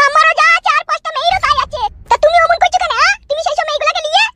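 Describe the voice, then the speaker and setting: A woman voicing a shrill, sarcastic, foul-mouthed village wife in a comic cartoon. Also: steady tone, rapid-fire, very noisy. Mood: furious, threatening. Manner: scolding, sarcastic.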